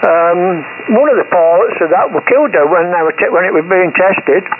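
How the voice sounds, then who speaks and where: A man's voice: sits high, brisk. A man chatting casually over ham radio, repeating words for emphasis and calling people mate. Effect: shortwave ham radio transmission. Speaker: amateur radio operator. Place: received over HF amateur radio. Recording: thin narrowband shortwave radio with heavy noise.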